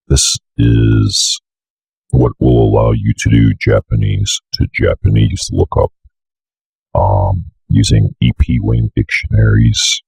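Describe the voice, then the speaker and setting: A young man with a bit of a cold giving a casual software walkthrough in an offhand, mumbling way. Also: rumbling low, vocal fry, slightly rough, no room sound. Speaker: software tutorial presenter. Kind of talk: casual screen-recorded walkthrough. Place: indoor room at a computer desk.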